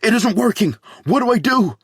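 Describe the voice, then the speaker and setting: A man's voice: high-pitched, expressive, fast talker, fairly bright tone, husky, no echo, clean studio sound, voice pushed up, full character voice. A man voicing a panicked young man with a cracking voice, tending his girlfriend. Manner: frantic, voice cracking.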